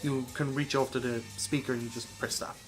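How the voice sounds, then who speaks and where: A man's voice: medium pitch, little pitch movement, quick pace, faint music behind. A man hosting a podcast, casually and insistently explaining a comedic scheme, with some swearing. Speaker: podcast host.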